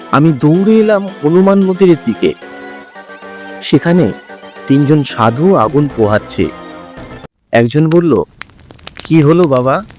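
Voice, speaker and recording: A man narrating a scripted first-person horror story, dramatic and suspenseful, in deliberate phrases broken by pauses. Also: fairly high pitch, darkish tone.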